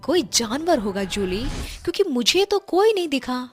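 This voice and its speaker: A woman voicing a calm, reassuring middle-aged mother in an animated storybook. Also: high-pitched, moderate pace, soft background music. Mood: soothing. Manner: soothing, coaxing.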